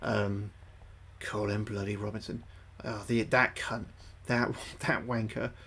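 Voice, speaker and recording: A man's voice: fairly low pitch, little pitch movement, slow, a bit bright, slight background noise. A young man livestreaming a game, thinking aloud to his chat, sweary and repeating himself. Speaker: livestreaming gamer. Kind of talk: casual gameplay commentary to chat.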